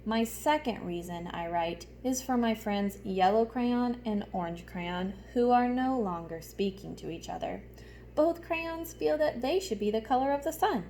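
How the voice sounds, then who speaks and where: A woman's voice: fairly high pitch, expressive, measured pace, dark tone, slight background noise. A woman voicing a happy, diplomatic green crayon from a picture book, formal and courteous. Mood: cheerful, polite.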